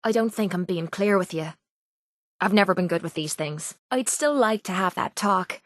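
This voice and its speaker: An actress voicing a tough, guarded but loyal young Irish pit fighter in a video game, speaking bluntly and colloquially. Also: female voice, mid-range voice, expressive, moderate pace, dry recording, studio quality, natural voice. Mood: awkward, earnest. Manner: blunt, rough-edged, colloquial. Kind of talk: video game companion dialogue.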